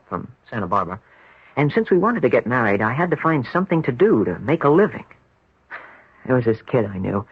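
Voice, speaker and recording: Animated young American surfer, slightly husky. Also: male voice, medium pitch. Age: young adult. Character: earnest, proud young surfer dropout, defensive and plucky. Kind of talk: 1970s radio drama acting. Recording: dull, muffled vintage radio recording.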